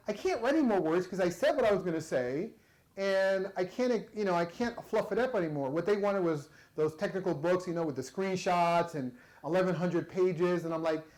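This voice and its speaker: Male workshop presenter, slightly high voice, chatty and casual with the room. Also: darkish tone, poor audio. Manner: conversational, chatty, repeats words. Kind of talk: workshop talk with audience.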